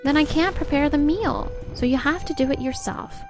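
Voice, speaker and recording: A woman's voice: high voice, moderate pace, music in the background. A woman playing an exasperated, overburdened young wife and mother in a scripted comic dub. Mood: exasperated, firm. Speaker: voice actor in a comic dub, narrating and playing characters. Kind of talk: scripted dramatic narration and dialogue.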